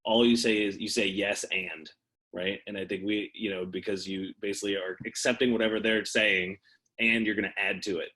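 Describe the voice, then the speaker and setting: A man's voice: fairly low pitch, steady tone, brisk, bright, forceful tone. A man taking part in a coaches' call, making his points in casual, explanatory discussion. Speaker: participant in a coaches' conference call.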